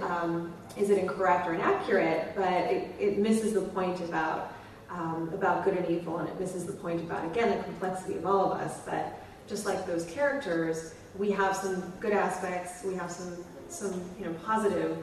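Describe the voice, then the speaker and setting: A woman's voice: fairly low pitch, far from the mic, a little hiss or hum. A woman, a psychologist on a live convention panel, speaking analytically with frequent hesitant fillers. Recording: echoey room mic from afar.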